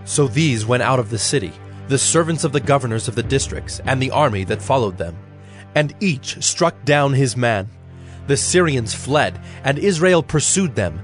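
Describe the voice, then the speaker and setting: A man narrating an audio Bible as a scripted, measured storyteller. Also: medium pitch, animated. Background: music.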